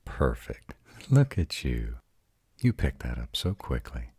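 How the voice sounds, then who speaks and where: Man playing a male vampire mentor and mate, extremely low pitch, husky, intimate, soothing, deliberate, dry close-mic roleplay audio. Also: sing-song, warm tone, no room sound, exaggerated voice. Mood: gentle, reassuring. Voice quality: very deep, husky. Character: arrogant male vampire, devoted mate and patient mentor to a newly turned partner. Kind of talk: scripted romantic roleplay audio for a listener.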